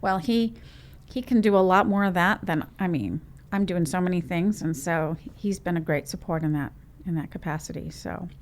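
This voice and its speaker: A woman from Trinidad and Tobago, a business owner, telling her story in a lively, rambling way as an interview guest. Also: lowish voice, normal speed, hardly any pauses, a little hiss or hum. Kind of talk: conversational interview.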